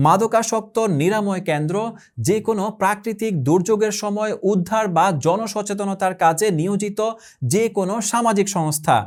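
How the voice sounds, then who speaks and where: A man with a Bangladeshi accent delivers scripted advertising narration. His voice is notably high for a man. He speaks formally, setting out items in short, measured phrases like an announcer. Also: a little mellow. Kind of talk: scripted product promo narration.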